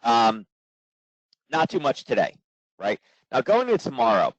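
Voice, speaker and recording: A man in his forties, hoarse from coaching, giving a trading webinar in a quick, casual way full of fillers. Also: mid-range voice, huge pitch swings, no echo. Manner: quick, filler-heavy, conversational. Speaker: trading instructor. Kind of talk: live webinar presentation. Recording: dull, dry lo-fi webinar audio.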